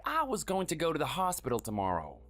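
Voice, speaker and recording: A performer voicing a meek, sickly man in his thirties who speaks softly and hesitantly, always apologizing. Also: a little high, wildly swooping pitch, fast. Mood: pleading. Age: 30s. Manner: soft, hesitant, pleading. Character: meek sickly young husband, timid and apologetic.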